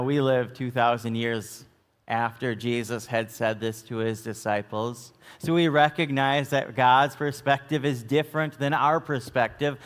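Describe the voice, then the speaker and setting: Male pastor, American, middle-aged, unhurried sermon delivery, mid-range voice, long church echo. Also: mid-range voice. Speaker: Lutheran pastor. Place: church sanctuary, at the pulpit.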